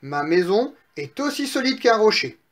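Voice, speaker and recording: A man's voice: high-pitched, normal speed. A man voicing a confident, cunning eldest little pig from a children's storybook, bold and pleased with himself. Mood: reassuring. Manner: bold, self-satisfied.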